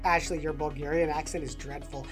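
A woman's voice: deep voice, fairly even intonation, a little fast, faint music behind. A woman hosting a podcast in a dry, teasing way, mixing scripted segments with banter. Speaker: podcast host.

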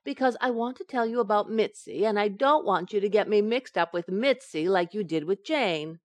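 An actress playing a feisty, playful housewife in a 1940s-style radio sitcom, with wry, teasing delivery. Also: female voice, mid-range voice, moderate pace, dry recording, natural voice. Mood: sarcastic, amused.

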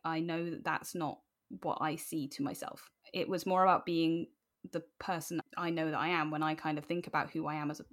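A woman's voice: low voice, steady tone, brisk. A woman speaking candidly and informally as an interview guest, animatedly telling personal stories. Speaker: podcast guest.

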